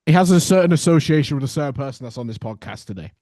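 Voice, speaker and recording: Fast excitable guy co-hosting, bit higher voice, dry sound. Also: no room sound. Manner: excitable, enthusiastic, says "like" often. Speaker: podcast co-host. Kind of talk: casual podcast chat.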